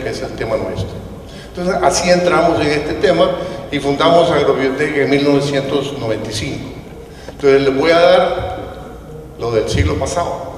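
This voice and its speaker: A middle-aged man with a Honduran Spanish accent gives a didactic public talk, telling of his own experience as a microbiologist. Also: medium pitch. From Puerto Cortés, Honduras. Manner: didactic, checks in with "¿verdad?". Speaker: microbiologist presenting at a food safety event. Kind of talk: public talk with personal anecdotes. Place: large echoing room with an audience. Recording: very echoey hall, distant mic, faint noise.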